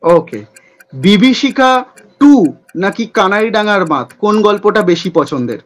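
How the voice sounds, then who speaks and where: A man hosting a playful quick-fire question segment in a chatty interview style. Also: sits high, sing-song.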